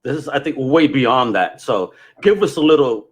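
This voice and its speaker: A man's voice: medium pitch, expressive, moderate pace. A man hosting a podcast with exuberant, slangy, hype-filled casual chat. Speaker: podcast host.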